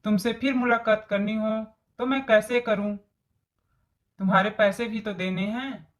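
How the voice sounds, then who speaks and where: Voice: mid-range voice, fairly even intonation, unhurried, lightly acted. A voice actor playing a scheming, greedy middle-aged mother-in-law in a story cartoon, with exaggerated storybook delivery. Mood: sweet, polite. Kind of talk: Hindi moral story cartoon dialogue.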